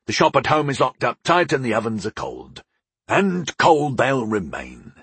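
Gruff, proud older father voiced by a man, low-pitched. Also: big pitch swings, fairly bright tone, dry recording, heavily acted. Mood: resolute. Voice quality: gruff, grumbling. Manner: unhurried, explaining, homespun wisdom. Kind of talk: audiobook character dialogue.

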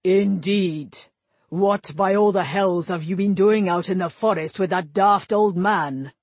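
A big, blustering warrior king of a fantasy audiobook, acted out by a woman, domineering and quick to anger. The voice is gruff and husky, with a commanding rustic bluntness. It is recorded dry and close. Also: medium pitch, dry recording. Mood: gruff, accusing. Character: massive grey-haired warrior king, gruff, domineering, hot-tempered father.

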